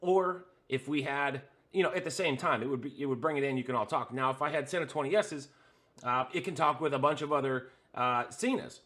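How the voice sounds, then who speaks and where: A man's voice: medium pitch, a little fast, fairly bright tone. A man hosting a hands-on tutorial, explaining a setup step by step with frequent "uh" fillers while pointing at the devices in front of him. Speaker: host.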